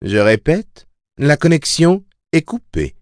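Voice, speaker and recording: A man reads as a female automated hostess in a fantasy audiobook. The delivery is formal and courteous, like corporate announcements, and lifted above his normal pitch. The sound is close and dry. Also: sing-song, slow, dry recording. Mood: bland, courteous.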